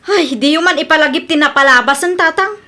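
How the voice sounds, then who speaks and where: A woman's voice: high-pitched, fast talker, sharp tone. A woman playing an earnest, respectful young wife and daughter-in-law in a radio comedy drama, with an Ilocano Filipino accent. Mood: defensive. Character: apologetic, humbled young married daughter-in-law.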